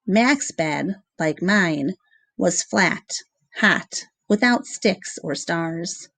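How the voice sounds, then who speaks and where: A woman reading a children's novel aloud in measured, expressive storybook narration with short pauses between phrases. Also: lowish voice, gravelly, no echo.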